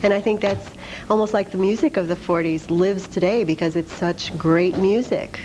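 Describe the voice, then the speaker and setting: A woman's voice: lowish voice, normal speed, darkish tone, slight background noise, low-quality recording. A woman speaking as a guest in a casual interview about her work. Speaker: interview guest, supper club spokesperson.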